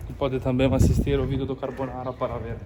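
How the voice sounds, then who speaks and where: A man's voice: medium pitch, level tone, fast talker, very noisy. A young man with an Italian accent speaking Portuguese as a second language, pointing out sights outdoors for a travel vlog. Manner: speaks Portuguese as a learner, sometimes misusing words. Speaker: co-host. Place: outdoors on city streets.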